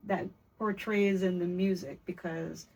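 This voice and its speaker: A woman singer chatting casually on a podcast, animated and expressive, talking freely with slang. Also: on the low side, a little slow, poor audio.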